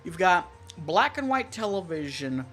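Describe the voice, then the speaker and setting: An American enthusiast talks casually at a middle pitch, rambling through technical details. The delivery is opinionated and conversational, as on an online stream, with faint music behind it. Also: big pitch swings, measured pace, a bit bright. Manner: opinionated, rambling, listing features. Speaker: stream co-host, weather radio collector.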